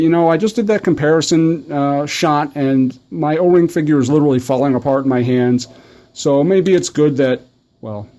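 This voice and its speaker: A man's voice: medium pitch, warm tone. A middle-aged man giving a casual, conversational video review, talking in a rambling enthusiast's way. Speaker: toy review host.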